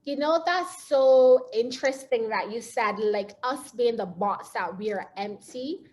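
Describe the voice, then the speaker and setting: A woman with a Barbadian accent giving an earnest, animated motivational talk over a video call. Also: on the high side, measured pace. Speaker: guest speaker. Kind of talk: webinar talk.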